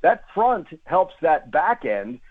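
A man's voice: on the high side, very animated, slow, husky. A man who works as a sports broadcaster, giving conversational analysis as a radio guest over the phone, emphatically repeating phrases. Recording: tinny, phone-like guest line.

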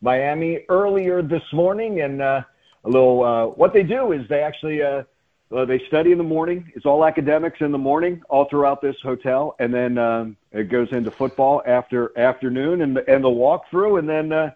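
A man's voice: on the high side, normal speed. A middle-aged or older man, a sports announcer, talking conversationally as a radio interview guest and often repeating phrases for emphasis. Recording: phone-like, narrow-band radio call-in.

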